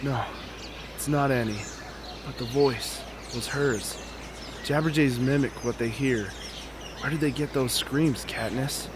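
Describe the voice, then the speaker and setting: A man's voice: mid-range voice, measured pace, long pauses, close to an everyday voice. A man playing an intense, haunted young fighter in an amateur radio drama, urgent in delivery. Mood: shaken. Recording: noisy, outdoor bird ambience.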